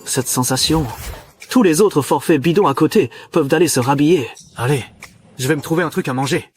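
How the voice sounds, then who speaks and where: A man voicing a cocky, crude cat who was once human, talking to himself boastfully and excitably in a dubbed cartoon. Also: a little high, a little fast, husky. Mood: gleeful boasting. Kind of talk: dubbed animation monologue.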